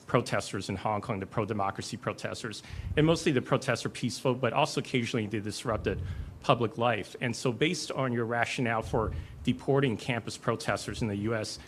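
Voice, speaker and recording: A man's voice: mid-range voice, fairly quick. Reporter guy asking a long question, mid voice, faint room noise behind. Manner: long, careful question with fillers. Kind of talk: press question.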